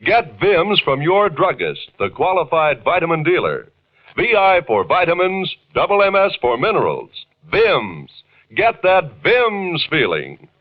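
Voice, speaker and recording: A man's voice: a little high, big pitch swings, measured pace. Old radio commercial guy, punchy, voice swoops around, dull muffled recording. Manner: punchy, emphatic. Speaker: commercial and network announcer. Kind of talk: 1940s radio advertisement.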